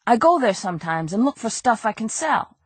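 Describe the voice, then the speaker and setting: Woman plays a sniveling two-bit crook, high and whiny, rough grammar, nervous. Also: big pitch swings, brisk, no echo. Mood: whiny pleading. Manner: whining, pleading, rough grammar.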